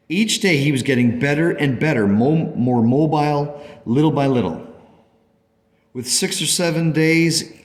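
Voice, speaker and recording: Long reverb surrounds a man acting as a thoughtful, grateful father in a radio play. His voice sits at an ordinary middle pitch, and he speaks with measured sincerity, as someone reflecting on a strange story. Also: a little mellow, lightly acted. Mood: wondering. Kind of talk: radio play dialogue and first-person narration.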